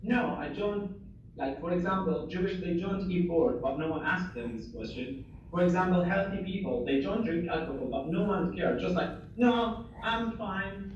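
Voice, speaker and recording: A man's voice: sits high, moderate pace. A young man talks earnestly over a video call, often restarting phrases and leaning on fillers. His slightly raised voice comes from a distance, wrapped in heavy room echo. The dull, muffled line carries a faint hiss underneath. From Syria. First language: Arabic. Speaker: interviewee, refugee and former assistant doctor. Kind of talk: casual conversational interview. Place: home in Germany.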